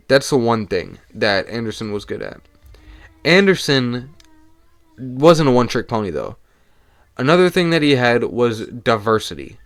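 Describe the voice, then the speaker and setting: A young man hosting his own solo podcast, talking casually and with swearing while hoarse and losing his voice. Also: mid-range voice, dry recording. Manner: casual and profane, talks fast in bursts. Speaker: podcast host.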